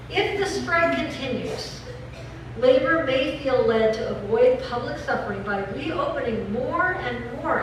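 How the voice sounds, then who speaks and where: A woman giving a dramatic reading as a resolute labor editorialist, solemn, deliberate and declamatory. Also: a little high, recorded from a distance. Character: resolute labor editorialist proclaiming a general strike. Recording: echoey room from afar, clear noise.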